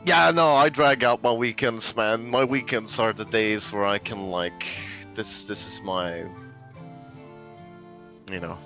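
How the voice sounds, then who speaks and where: A man's voice: lowish voice, slow pace, sharp tone, faint music behind. A man joining a livestream as a guest, chatting playfully, laughing and insisting on his points. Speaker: stream guest. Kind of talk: casual livestream chat.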